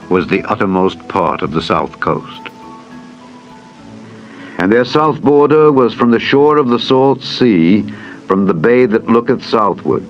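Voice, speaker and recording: A man reading scripture as a narrator in a solemn, measured, formal manner, as in a dramatized audio Bible. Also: lowish voice. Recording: dull lo-fi audio with music behind. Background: background music.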